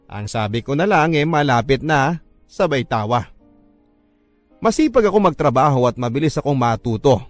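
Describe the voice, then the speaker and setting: A Filipino man reads in the first person as a radio storyteller. His delivery is scripted and dramatic, in a middle register. Music runs softly behind his voice. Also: medium pitch. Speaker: radio storyteller reading a listener's letter. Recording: music bed under the voice. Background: background music.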